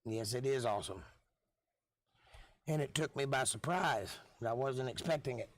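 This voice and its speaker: A middle-aged man talks in a relaxed, folksy way to the viewers of his live stream. His voice is hoarse and worn because he is losing it. He sits at a mid pitch, and the sound is dry, with no room around it. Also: normal speed, no room sound. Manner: laid-back, chatty, folksy. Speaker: live stream host and musician.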